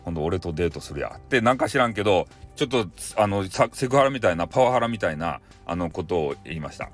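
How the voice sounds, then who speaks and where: A man with a Kyushu accent from Fukuoka narrating a comic story in a casual, halting, conversational way. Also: on the low side, faint music behind. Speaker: storyteller, audio show host.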